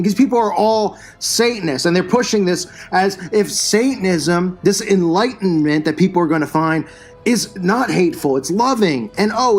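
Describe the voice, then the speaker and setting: A man hosting his own channel, delivering a combative, scornful religious commentary rant. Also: sits high. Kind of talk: religious commentary rant over news clips.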